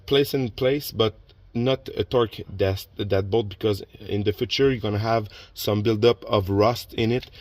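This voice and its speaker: A man's voice: medium pitch, slight background noise. Man with a French first language, explaining car repair. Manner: plain, instructive, with frequent restarts and filler. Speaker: DIY car repair channel host. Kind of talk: casual how-to narration while working.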